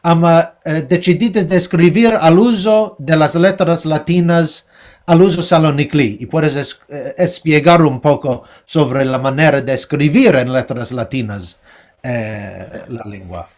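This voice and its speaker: Guy hosting an interview, slowish, kinda high voice, stumbles over his questions. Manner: hesitant, repeats words, gives frequent soft acknowledgments. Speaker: interviewer host. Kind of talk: conversational interview.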